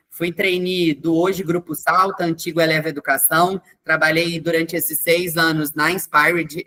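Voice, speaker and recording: A young man from Brazil hosts an online meeting over a video call. He speaks with a bright, forceful tone. His manner is chatty, and he sprinkles his talk with tag questions and fillers. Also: high-pitched. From Minas Gerais, Brazil. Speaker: webinar host, experience coordinator. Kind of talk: online webinar presentation.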